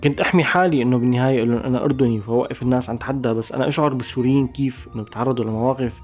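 A man with a Syrian Arabic accent, a musician giving a thoughtful interview, pausing and restarting mid-phrase. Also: medium pitch, darkish tone, faint music behind. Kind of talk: reflective interview talk.

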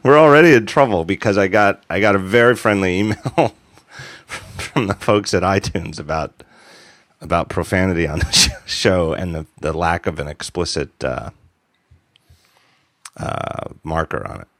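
A man's voice: deep voice, normal speed, a bit bright, no echo, clean studio sound. A man in his forties hosting a podcast, chatting casually and rambling with restarts. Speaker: podcast host. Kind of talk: casual chat.

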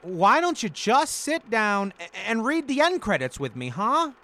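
A man's voice: high voice, sing-song, a little slow, sharp tone, full character voice. A man playing an affable, placating buddy and announcer in a comic radio play, coaxing as he goes. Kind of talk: comic radio play acting, credits reading.

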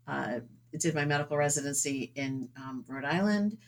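A woman's voice: sits low, animated, normal speed. A woman guest, a painter who trained as a doctor, answering interview questions thoughtfully with frequent ums and uhs. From suburbs of New York City. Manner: hesitant, many ums and uhs. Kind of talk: casual interview chat.

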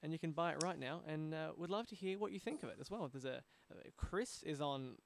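Australian male podcast host with gravelly edge. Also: on the high side, fairly quick. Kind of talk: conversational podcast interview.

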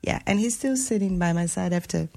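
A woman's voice: lowish voice, brisk, vocal fry, mellow. A Brazilian woman in her thirties, a musician guest in a radio studio, telling stories in a chatty way with fillers. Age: 30s. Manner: chatty storyteller with fillers, laughs easily. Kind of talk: radio interview. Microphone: studio microphone.

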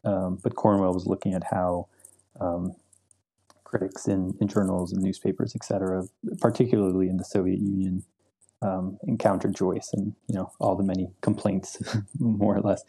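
A man's voice: deep voice, flat delivery, normal speed, dark tone, husky. A young man, a literature professor, answering interview questions in a thoughtful, hesitant way with many ums and repeated words. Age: young adult.

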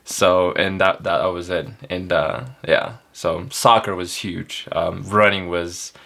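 A man in his twenties from Ethiopia, chatting casually as an interview guest in a halting way with many filler words. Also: low voice, steady tone, gravelly, bright, forceful tone. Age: 20s. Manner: halting, hesitant delivery with frequent filler words. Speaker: elite runner, interview guest. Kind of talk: casual chat.

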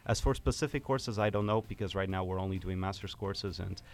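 A man's voice: low voice, a little fast. A young man from Portugal, a student guest on a radio interview, explaining things earnestly with some hesitation.

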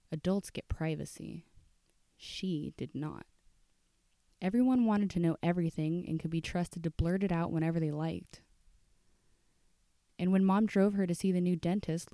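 A woman's voice: sits low, dark tone, no echo, clean studio sound. A woman narrating a scripted work of fiction as an audiobook reader.

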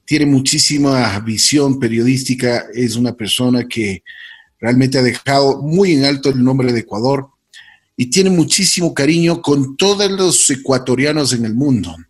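A man's voice: medium pitch, normal speed, breathy edge. Effusive male interview host. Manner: warm effusive introductions, repeats phrases. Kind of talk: interview hosting.

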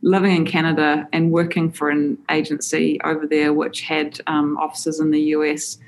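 A New Zealand woman with a notably low voice answers interview questions in a relaxed, chatty way. She sounds enthusiastic and fills her pauses with "um"s. Her answers come across as loose and unscripted. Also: a little hiss or hum, poor audio. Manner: enthusiastic, frequent "um" fillers. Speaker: interview guest, creative director.

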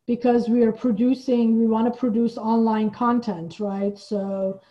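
A woman's voice: a little high, flat delivery, unhurried, warm tone, lo-fi sound. A woman from Iran speaking thoughtfully and hesitantly as a guest in a conversational interview. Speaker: guest, playwright and theater artistic director.

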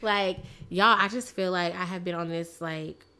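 A woman with a raspy, hoarse voice from a cold, chatting as a podcast co-host and telling stories in a lively, filler-heavy way. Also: on the low side, moderate pace. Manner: chatty, animated storytelling with many fillers. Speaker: teacher. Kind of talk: casual chat.

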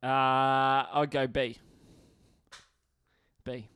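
A man's voice: mid-range voice, level tone, drawn-out words, fairly bright tone, smooth. An Australian man chatting casually as a podcast co-host, joking with a mock-serious air. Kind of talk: casual chat. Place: podcast studio.

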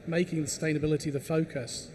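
A man's voice: a little high, level tone, fast, background noise. Man on a panel, stuttering restarts. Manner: stammers on restarts. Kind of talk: panel discussion.

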